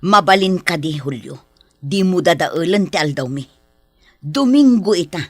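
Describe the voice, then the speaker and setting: A woman's voice: fairly low pitch, expressive, measured pace, dry recording, faint music behind. An actress playing an exasperated farm wife and mother in a Philippine radio drama, scolding and pleading with her husband.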